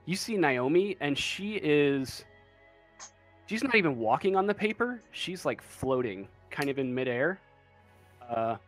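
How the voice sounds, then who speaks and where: A man with a medium-pitched voice narrates a tabletop game as game master. He goes slowly and hesitantly, hunting for words, with faint music underneath.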